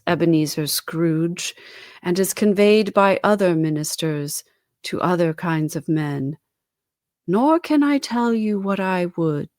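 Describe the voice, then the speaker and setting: A woman voicing the mournful, tormented ghost of a dead businessman in a dramatised storybook reading, with slow, wailing words that sink into breathy whispers. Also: lowish voice, darkish tone, no room sound, clean studio sound. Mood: bleak, weary.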